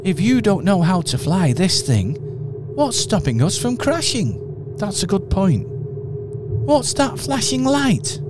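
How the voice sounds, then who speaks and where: A man doing a squeaky excitable young bear. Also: slow pace, exaggerated voice. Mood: excited. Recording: radio play with clear background noise.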